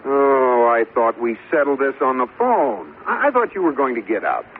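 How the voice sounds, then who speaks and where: An actor playing a fretful, well-meaning husband in an old radio sitcom, earnest and a little stammering. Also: male voice, medium pitch, big pitch swings, moderate pace, vocal fry. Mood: exasperated, coaxing. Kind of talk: 1940s-50s radio sitcom acting. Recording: very noisy, tinny old radio recording.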